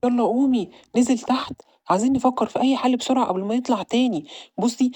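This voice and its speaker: A woman voicing a young boy who acts the protective big brother, talking fast and bossily. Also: fairly high pitch, dark tone, no room sound, lightly acted. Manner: bossy, protective. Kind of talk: audio drama.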